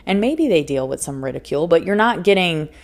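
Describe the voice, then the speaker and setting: Earnest woman podcaster, slightly low voice. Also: lively intonation, a little fast. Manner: earnest, emphatic, laughs often; repeats phrases for emphasis. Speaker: podcast host and life coach. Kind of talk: solo motivational podcast talk.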